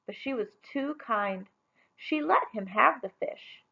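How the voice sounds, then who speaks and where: Animated, unhurried woman reading a storybook. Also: on the high side, gravelly, dull audio. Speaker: storybook reader. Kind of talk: expressive children's read-aloud narration.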